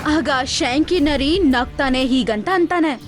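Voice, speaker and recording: A woman's voice: sits high, slow pace. A woman narrating a scripted animal cartoon story as its storyteller. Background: light background music.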